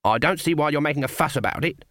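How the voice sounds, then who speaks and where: A man's voice: on the high side, lively intonation, fast, a bit bright, no room sound, studio quality. A man voicing a stern, proud, easily offended old woman witch in a storybook reading, with a snappish, imperious manner. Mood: snappish, indignant.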